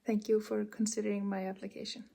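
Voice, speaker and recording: Woman, soft gravelly voice. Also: mid-range voice, level tone, fast. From Iceland. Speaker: university HR staff. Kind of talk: self-introduction video.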